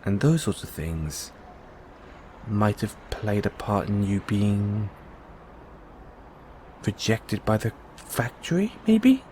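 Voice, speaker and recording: Man playing a slow, animated, curious journalist. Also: on the low side, a little hiss or hum. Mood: musing, curious. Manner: musing, hesitant, coaxing. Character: curious, reassuring male investigative journalist, owner of an android. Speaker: audio roleplay voice actor. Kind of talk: intimate one-sided audio roleplay acting.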